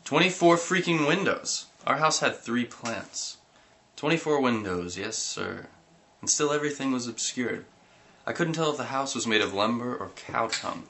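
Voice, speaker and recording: A man reading a comic story aloud, animated, breaking into giggles and sudden yelps. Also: mid-range voice, moderate pace, creaky, a little hiss or hum. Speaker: reader.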